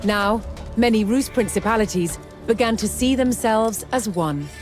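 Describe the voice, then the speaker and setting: A woman reading scripted documentary narration in a measured, dramatic storytelling manner. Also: a little high, darkish tone. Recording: music under the voice. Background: music.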